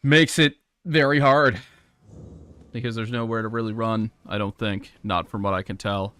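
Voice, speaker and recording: A hoarse young man commenting as he plays a hard game live, thinking aloud, swearing and grumbling at his mistakes. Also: on the low side, steady tone, normal speed, a bit bright, dry recording. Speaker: gaming streamer.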